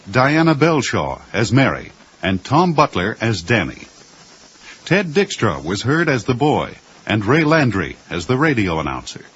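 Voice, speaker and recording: Clear-voiced male radio announcer, lively intonation. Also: medium pitch. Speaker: radio drama series host and announcer. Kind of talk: formal scripted broadcast announcing. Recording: slightly dull 1980s radio recording.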